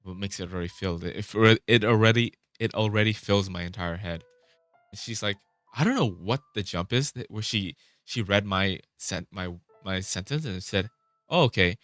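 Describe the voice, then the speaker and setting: Guy with a really low voice just rambling, dry close sound, faint music behind. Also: moderate pace, a bit bright, no echo. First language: Vietnamese. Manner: rambling, self-interrupting, swears casually. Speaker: vlogger. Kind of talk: casual rambling chat.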